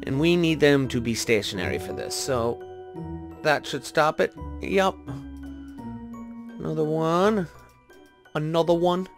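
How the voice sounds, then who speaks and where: Guy w/ kinda higher voice doing casual lets play commentary, thinking out loud and second guessing, faint music behind. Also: animated, unhurried, pauses often. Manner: self-questioning, thinking aloud. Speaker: gaming video host. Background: background music.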